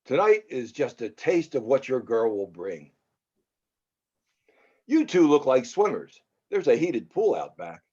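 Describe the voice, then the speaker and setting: Male actor as a coaxing, quietly dangerous producer in a screen drama read, mid-pitched, muffled recording. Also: measured pace, husky. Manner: flattering, coaxing. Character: smooth, flattering male film producer with menacing intent. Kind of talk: screenplay table read acting. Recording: dull lo-fi recording.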